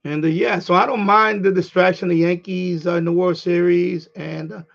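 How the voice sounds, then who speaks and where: A middle-aged man from New York with a New York accent, hoarse, chatting casually on his livestream and restarting his phrases as an eager sports fan. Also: sits high, fairly even intonation, moderate pace, a bit bright. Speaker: livestream host. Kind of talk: casual rambling chat. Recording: dull lo-fi stream audio.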